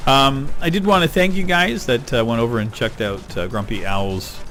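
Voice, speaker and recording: A middle-aged man rambling casually while playing a video game, getting excited over loot. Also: mid-range voice, moderate pace. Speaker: gaming YouTuber. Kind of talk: casual let's-play commentary. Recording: game music behind voice. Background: game music.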